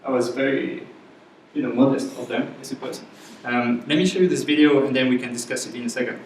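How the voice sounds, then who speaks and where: A man's voice: mid-range voice, steady tone, brisk, a little mellow, a little hiss or hum. A man with a French accent giving a casual, chatty class presentation to a live audience, often checking in with the room. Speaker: workshop instructor. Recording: echoey room, voice from far off mic.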